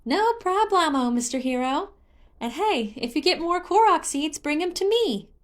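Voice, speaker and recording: A woman's voice: very high-pitched, lively intonation, moderate pace, pitched above the performer's own voice. A woman voicing a male childlike forest spirit, eager, boastful and bubbly, in a fantasy story. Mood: cheerful. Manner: bubbly, childlike, sing-song exclamations.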